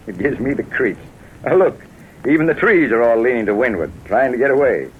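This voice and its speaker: An actor playing a wry, musing, somewhat bossy traveller in an old radio mystery. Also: male voice, medium pitch, lively intonation, moderate pace, natural voice. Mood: wry, spooked. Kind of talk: 1950s radio acting. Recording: tinny, noisy vintage radio recording.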